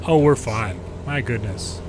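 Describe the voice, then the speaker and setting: Sardonic American man over clear background noise. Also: mid-range voice, measured pace. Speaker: gaming video host, pilot and former air traffic controller. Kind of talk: casual game commentary. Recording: steady background hum and noise.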